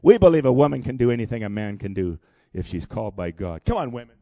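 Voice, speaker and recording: A man in his sixties preaching a sermon in church, animated and calling for responses from the congregation. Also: mid-range voice, fast talker, no echo. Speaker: pastor. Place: church hall.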